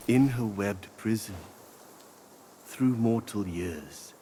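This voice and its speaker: An actor playing a calm, wise old male sage reciting poetic lines in a video game. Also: lowish voice, slow, a little hiss or hum. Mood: solemn. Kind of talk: video game cutscene narration.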